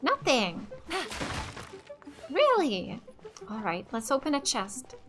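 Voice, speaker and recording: Female gaming streamer from Finland, Finnish native, high voice, unhurried casual commentary, game music clearly behind her. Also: wildly swooping pitch. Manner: thinking aloud, tentative. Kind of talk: casual let's play commentary.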